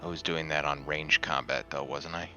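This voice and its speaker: Male tabletop player, extremely low and creaky, unhurried, thinking out loud. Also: fairly even intonation, faint music behind. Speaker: player in a tabletop roleplaying game. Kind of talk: casual game-table talk.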